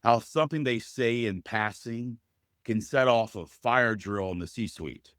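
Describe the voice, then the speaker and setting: A man with a deep, loud voice, speaking as a guest in an interview in an earnest, measured way and often addressing the host by name. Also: no echo, studio quality. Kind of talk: interview conversation.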